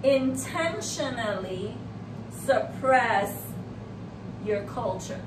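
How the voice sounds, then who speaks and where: A middle-aged woman, hosting a conversational talk show in a deliberate, emphatic way. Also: fairly high pitch, animated. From Puerto Rico. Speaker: art show host, artist. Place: indoor room hung with paintings. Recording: echoey room, distant mic, noisy background.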